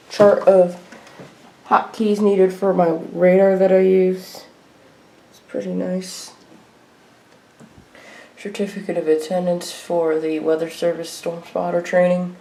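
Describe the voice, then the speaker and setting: A woman giving a casual, unscripted show-and-tell at home in a halting way, as a hobbyist vlogger. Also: on the low side, vocal fry, mellow. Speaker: weather radio hobbyist vlogger. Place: indoor room at home. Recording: noisy homemade video with clicks.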